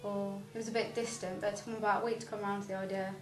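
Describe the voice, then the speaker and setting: A woman's voice: mid-range voice, level tone, racing, music in the background. A nineteen-year-old woman, a young mother, giving an earnest, reflective personal testimony. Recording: roomy, distant, noisy.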